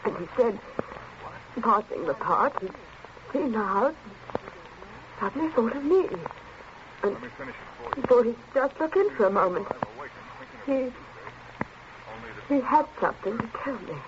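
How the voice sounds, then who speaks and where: An actress playing a timid, distraught young woman who speaks haltingly and pleadingly in 1940s radio thriller style. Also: a little high, expressive. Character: distraught timid grown daughter, confessing haltingly. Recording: noisy muffled 1940s radio recording.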